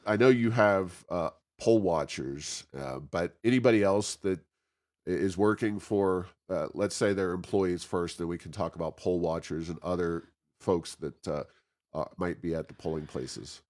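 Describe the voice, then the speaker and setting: Male interviewer, deep voice, hesitant phrasing, conversational podcast questioning. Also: measured pace, darkish tone.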